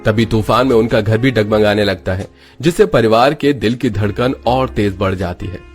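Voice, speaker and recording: A man's voice: fairly low pitch, moderate pace, soft background music. A man giving dramatic scripted narration of a story.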